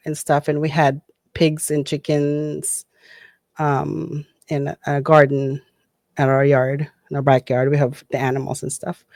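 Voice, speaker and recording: A woman's voice: low voice, a little mellow, no echo, clean studio sound. A middle-aged woman from the Philippines, reacting to videos in a chatty, hesitant way with many fillers and restarts. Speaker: reaction channel host. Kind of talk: casual reaction commentary.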